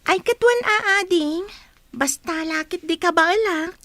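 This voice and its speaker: A woman's voice: very high voice, a little slow, bright, forceful tone, no echo, exaggerated voice. A woman acting as an earnest, dutiful teenage eldest sister in a radio drama. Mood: caring.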